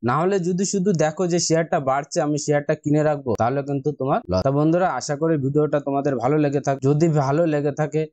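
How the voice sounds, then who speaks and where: A man's voice: a little high, steady tone, racing, few pauses, a little mellow, dry recording. A man with an Indian Bengali accent, narrating a casual step-by-step tutorial and addressing viewers as friends in an instructional way. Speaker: investing tutorial host.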